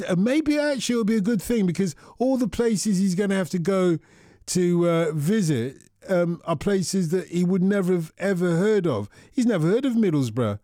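Guy hosting a radio call-in, mellow warm voice, chatty and musing, dry studio sound. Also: high-pitched, no echo. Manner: chatty, musing, thinks aloud with restarts. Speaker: radio phone-in host. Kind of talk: casual radio chat with callers.

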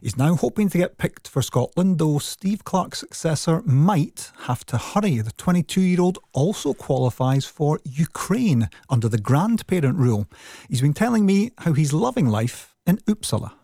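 A male sports reporter delivers a scripted news item in a measured, informative manner. His voice sits slightly high, with a gravelly edge and a dark tone. The recording is dry and close. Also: expressive, no room sound.

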